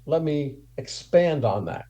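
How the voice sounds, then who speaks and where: A man's voice: on the high side, expressive, a little slow, mellow. A man guest giving direct, coaching-style advice on a podcast, often checking in with "right?".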